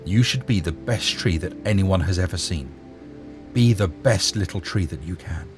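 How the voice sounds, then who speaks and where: A man voicing a caring, concerned mother in a storybook, coaxing and gently questioning her young son. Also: deep voice, moderate pace, over music, lightly acted. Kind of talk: storybook character voice.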